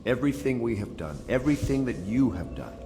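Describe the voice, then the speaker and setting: A man playing a grave, commanding leader of conspirators in a video game, solemn and deliberate. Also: medium pitch, animated, natural voice. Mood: solemn resolve. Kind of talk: video game character dialogue. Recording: reverberant hall, music behind.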